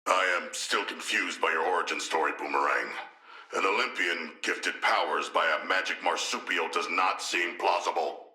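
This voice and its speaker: Very deep, forceful, erudite shark villain. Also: male voice, echoey room, rough recording. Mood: earnest, thoughtful. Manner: formal, eloquent, measured, deadpan diction. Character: hulking, erudite, gentle yet proud humanoid shark villain. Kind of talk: video game character voice lines.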